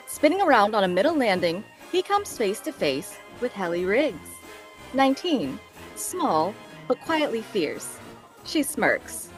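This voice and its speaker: A woman narrating stage directions in a scripted table read. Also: fairly high pitch.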